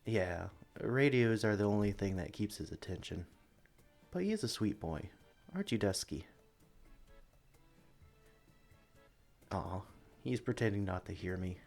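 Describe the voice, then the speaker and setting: A man playing a friendly, caring animal sanctuary worker in an ASMR roleplay, talking gently and encouragingly to a newcomer. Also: on the low side, animated, normal speed, vocal fry, soft background music. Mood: tender, fond. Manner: gentle, encouraging, chatty, coos to creatures. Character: friendly, caring experienced male Pokemon sanctuary worker showing newcomer around. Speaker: voice actor in audio roleplay. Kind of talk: ASMR roleplay, acted one-sided conversation.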